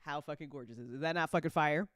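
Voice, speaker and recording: A woman hosting a casual livestream, chatting informally with viewers in a playful, teasing, sweary and animated way. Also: sits low, rapid-fire, no room sound, studio quality. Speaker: host of an audio drama network's livestream.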